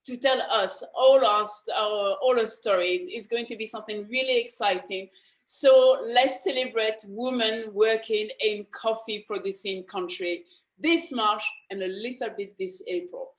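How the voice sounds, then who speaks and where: A woman hosts an interview in an upbeat, loose way, full of fillers and restarts. Her voice sits fairly high, and the room rings with echo. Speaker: host. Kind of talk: casual interview hosting.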